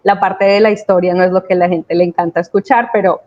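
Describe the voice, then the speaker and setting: A woman's voice: on the low side, steady tone, quick pace, darkish tone. A woman giving a conversational company presentation, explaining things with emphasis. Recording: dull lo-fi video-call audio.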